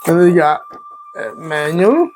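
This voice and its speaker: A man who is a native Vietnamese speaker gives a casual, unscripted product unboxing, haltingly searching for words. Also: sits high, big pitch swings, a little mellow, a little hiss or hum.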